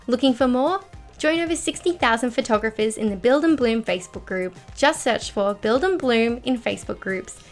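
A woman with a high voice reading a polished, upbeat podcast outro. Faint music plays behind her. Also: animated, moderate pace. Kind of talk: scripted promo read.

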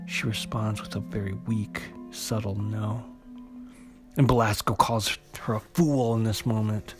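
Guy recapping a comic story, kinda halting, keeps restarting phrases, music playing under him. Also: fairly low pitch, fairly even intonation. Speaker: host retelling a comic book story. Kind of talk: unscripted story recap, narration.

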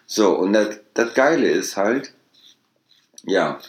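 Young man, podcast co-host, low voice, rambling with restarts, echoey room. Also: lively intonation, slow pace. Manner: rambling, many restarts and fillers. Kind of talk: casual chat. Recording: roomy echo.

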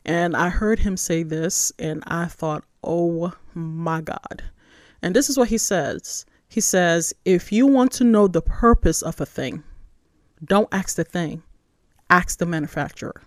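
A woman's voice: on the low side, a little slow, no room sound, studio quality. A woman in her forties from Nigeria, born in New York, hosting a devotional podcast in a conversational, emphatic way. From born in New York, raised in Nigeria. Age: 40s. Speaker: podcast host, church spiritual formation director. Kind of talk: casual devotional podcast talk.

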